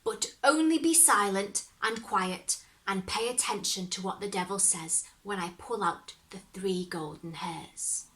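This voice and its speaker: A woman voicing the devil's old grandmother in a storybook, brisk, commanding and scolding, yet pitying. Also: mid-range voice, fairly bright tone, natural voice. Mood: pitying, advising.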